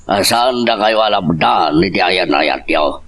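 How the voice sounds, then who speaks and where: Man playing grave, low-voiced older father. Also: expressive, measured pace, a bit bright, full character voice. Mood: stern reproach. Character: protective, worried older father confronting his son-in-law. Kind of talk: Ilocano audio drama acting.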